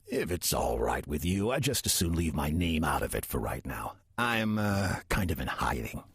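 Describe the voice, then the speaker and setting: An older demon hiding out as a church usher, played by a man, speaks in a low, very husky voice. His sarcasm is dry and deadpan, with plenty of rise and fall, as in a horror audio drama. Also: moderate pace, no echo, full character voice. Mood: sly, patient. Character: wry demon posing as a 63-year-old church usher. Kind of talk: audio drama acting with first-person narration.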